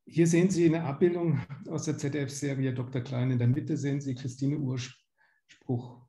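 Guy in his 50s from germany explaining medical slides, really dark mellow voice, roomy echo. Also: mid-range voice, fairly even intonation, unhurried. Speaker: medical lecturer, pediatric gastroenterologist. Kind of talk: slide lecture to patients. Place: small indoor room, on video call. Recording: roomy home or office echo.

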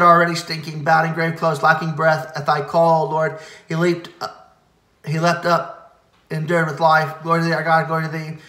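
Man, fairly high voice, flat monotone liturgical reading, fast, roomy echo. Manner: quick, steady recitation with stumbles and self-corrections. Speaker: reader leading a church service. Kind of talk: liturgical chanting of hymn verses.

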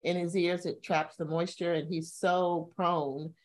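Casting note: middle-aged woman, lowish voice, lively storyteller who jumps up high for emphasis, webcam from a home room. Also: a little slow. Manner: animated storytelling with sudden high-pitched emphasis. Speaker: co-host. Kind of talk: casual video-call chat. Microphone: video call webcam.

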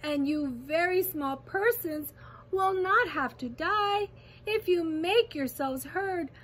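A woman acts out a loyal, worried male elephant from a children's storybook. The voice is high and slow, calling out in drawn-out, earnest pleas. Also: sing-song, sharp tone, heavily acted. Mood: urgent, encouraging. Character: kind, pleading male elephant, anxious and loyal.

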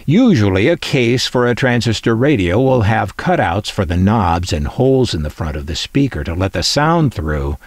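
A man's voice: lowish voice, very animated, moderate pace, few pauses, no room sound. A middle-aged American man narrating in a conversational way, wry and opinionated, with dry humour. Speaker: collector presenting vintage transistor radios.

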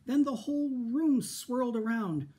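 A middle-aged man reading a picture book aloud to children in an expressive storytime style, recorded in a room at home. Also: very high-pitched, measured pace. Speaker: school storytime reader. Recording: home recording with background noise.

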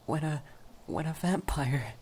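Male actor as lovestruck vampire youth, ASMR romance: breathy, very hesitant and stuttering, unhurried, nervous and gentle. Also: on the high side, animated, slight background noise. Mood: hesitant, shy. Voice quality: soft, breathy, often whispering. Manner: heavily stammering, hesitant, apologetic.

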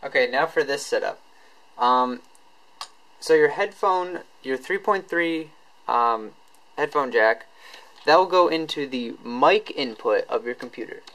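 Casual, halting man giving a tutorial. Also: medium pitch, expressive, a bit bright, low-quality recording. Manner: halting, informal, unscripted. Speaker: tech tutorial presenter.

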